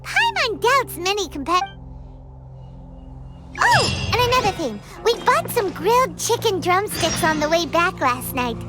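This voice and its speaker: A woman voicing a tiny, chirpy female companion creature who bubbles with excitement and refers to herself in the third person. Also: very high voice, a little slow, loud music behind the voice, full character voice. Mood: bubbly. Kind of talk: game voice acting.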